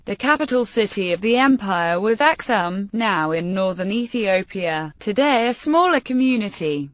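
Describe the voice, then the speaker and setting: A woman narrator reading scripted encyclopedic text aloud in a formal, measured manner. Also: medium pitch, no room sound.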